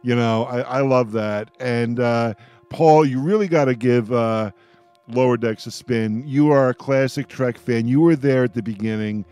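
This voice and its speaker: A man's voice: mid-range voice, moderate pace, darkish tone, clean tone, no echo, soft background music. A man hosting a radio roundtable in casual chat, talking emphatically and often repeating his phrases. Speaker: radio host moderating a roundtable.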